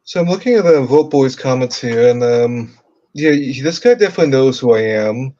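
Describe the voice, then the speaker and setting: A man co-hosting a live call-in stream, answering chat comments. His voice is dark and mellow, and his speech halts often on fillers and restarts. The stream audio sounds slightly dull. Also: mid-range voice, lively intonation, brisk. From United States. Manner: hesitant, many fillers and restarts. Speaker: co-host. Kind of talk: livestream Q&A chat.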